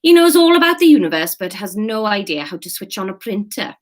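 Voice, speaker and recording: A woman's voice: medium pitch, big pitch swings, fairly quick, close to an everyday voice. Woman reading as a fed up uni worker lady in a story, dry and wry, very up and down. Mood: exasperated. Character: wry, eye-rolling female university worker.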